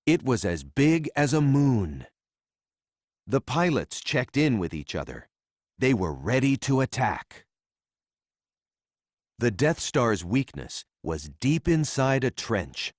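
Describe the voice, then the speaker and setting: A man narrating a children's read-along story in a simple, deliberate storytelling manner for young readers. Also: medium pitch, no echo, clean studio sound.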